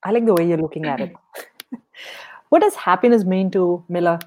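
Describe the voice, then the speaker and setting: A woman's voice: lowish voice, brisk, darkish tone. A woman hosting a podcast interview, asking questions in a friendly, encouraging way. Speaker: podcast host.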